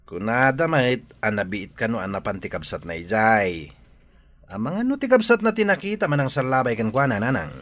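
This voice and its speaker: A man with an Ilocano accent playing a smooth-talking, pushy suitor in a village radio drama, with a husky voice. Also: medium pitch, sing-song, fairly quick, a bit bright. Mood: sly, admiring.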